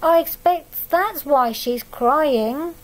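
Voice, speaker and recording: A woman's voice: high-pitched, slow pace, pitched above the performer's own voice. A woman voicing a small, caring boy from a picture book in a simple, earnest manner.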